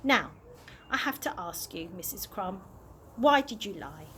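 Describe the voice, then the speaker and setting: A woman's voice: mid-range voice, expressive, a little slow, a bit bright, slight background noise. A woman reading a storybook and voicing a formal, probing male television host.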